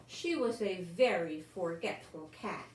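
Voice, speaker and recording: Older woman storyteller, slow and animated. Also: lowish voice. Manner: expressive, lingering on words, talking as to small children. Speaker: storyteller reading a picture book aloud. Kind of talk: read-aloud children's story with chatty asides. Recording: roomy home recording with faint noise.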